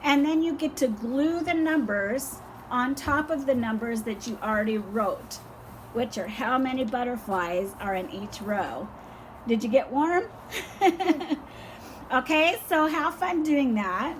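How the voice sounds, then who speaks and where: A slow-paced older woman with a somewhat high voice leads a young child through a lesson, patient and encouraging. Her voice is hoarse and fading. Faint outdoor sounds are heard behind her. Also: slight background noise, rough recording. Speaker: teacher.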